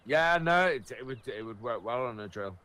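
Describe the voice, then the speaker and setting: A man with a bright, forward voice and lively swings in pitch. He chats casually as co-host of a farming game role-play video. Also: normal speed. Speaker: co-host of a gaming video series.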